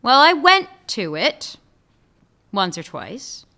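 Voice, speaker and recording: Casting: a woman as a sarcastic top cop, bright clear voice, animated delivery. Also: fairly high pitch, slow pace, heavily acted. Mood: guarded. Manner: dry, sarcastic. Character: sardonic female police chief. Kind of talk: radio play acting.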